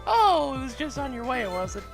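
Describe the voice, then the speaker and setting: A woman voicing a brash, excitable, defensive female detective in a mystery game. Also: sits high, brisk, creaky, over music, full character voice. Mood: brazen. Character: brash, headstrong young female detective, highly strung.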